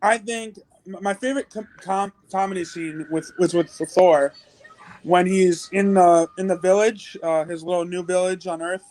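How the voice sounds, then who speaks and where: A man chatting casually as a guest, halting and stumbling over his words. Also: high-pitched, fairly even intonation, a little mellow, no room sound, poor audio. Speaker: guest on review show. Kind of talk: casual chat.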